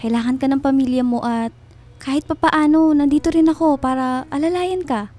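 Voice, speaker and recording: An actress playing a gentle, attentive household helper in a Filipino radio drama, speaking with a Filipino accent in a soft, comforting way. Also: female voice, high-pitched, a little fast, soft background music, lightly acted. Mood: soft, caring. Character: gentle, caring female household helper, devoted and quietly attentive.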